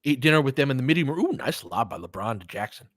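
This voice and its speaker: A man's voice: mid-range voice, big pitch swings, fast, a bit bright, no room sound, clean studio sound. A man doing casual live basketball play-by-play in short, clipped phrases, with informal asides as a fan would make. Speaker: play-by-play commentator and streamer.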